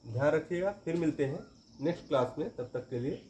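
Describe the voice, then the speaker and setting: A man's voice: on the high side, brisk, darkish tone, slight background noise. A man teaching a current affairs lesson for exam preparation, repeating each question and answer for emphasis. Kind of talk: exam-prep quiz lesson. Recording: roomy, dull lo-fi recording.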